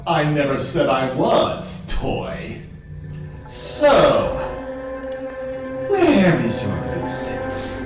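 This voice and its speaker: A man playing a cold, mocking impostor villain in a fantasy audio drama, with drawn-out taunting delivery. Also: fairly high pitch, huge pitch swings, full character voice. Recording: heavy echo, music behind.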